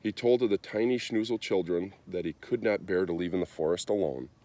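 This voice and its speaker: A man reading a children's story aloud in an expressive storytelling style. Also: low voice, fairly quick. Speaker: storybook reader.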